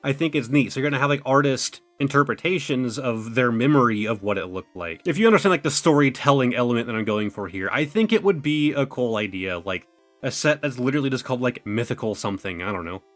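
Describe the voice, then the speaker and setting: A young man talks loosely and at length, his voice thin and worn as if it is going out. He leans on fillers and loses his thread before finding it again. Faint music plays quietly behind him. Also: mid-range voice, lively intonation, fast talker. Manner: rambling, full of "like" and "you know". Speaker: card-opening YouTuber. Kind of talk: casual chatty commentary.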